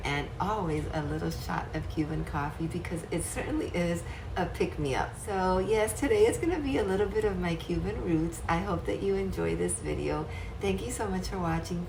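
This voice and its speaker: A woman in her fifties, born in Cuba and raised in the United States, hosts a casual home cooking vlog and talks chattily to her viewers. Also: on the low side. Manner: chatty and warm, addresses viewers as guys. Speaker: channel host. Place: home kitchen and dining room. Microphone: camera on a tripod at medium distance. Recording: roomy kitchen with music behind.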